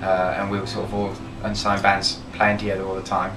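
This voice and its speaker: A young man from Birmingham talks casually in an interview, steady and measured with many fillers, as band leader. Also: deep voice, noisy background. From Birmingham, England. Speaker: band leader, guitarist and singer. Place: band label office. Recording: roomy office, distant mic, music behind.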